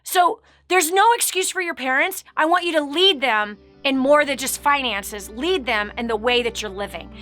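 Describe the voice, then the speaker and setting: A middle-aged woman hosting a radio show, giving emphatic, preachy motivational advice that swells louder on key words. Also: high voice, moderate pace, few pauses, soft background music. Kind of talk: motivational coaching talk.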